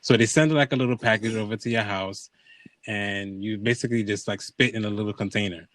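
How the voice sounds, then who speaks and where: A man's voice: on the low side, steady tone, brisk, fairly bright tone, no room sound. A man hosting a podcast, telling a personal story in casual conversation, acting out quoted dialogue and checking in with his listeners.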